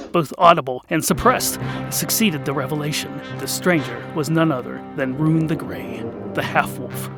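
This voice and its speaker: A man narrating a comic fantasy tale as the show's host, theatrical, dropping to hushed whispers and rising to sudden bursts. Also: on the high side. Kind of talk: scripted audiobook narration.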